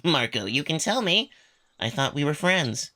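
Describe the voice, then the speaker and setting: A man's voice: a little high, big pitch swings, normal speed, sharp tone, voice pushed up, heavily acted. A man voicing a reserved male cat who is polite, coy and a little sly. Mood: coy teasing.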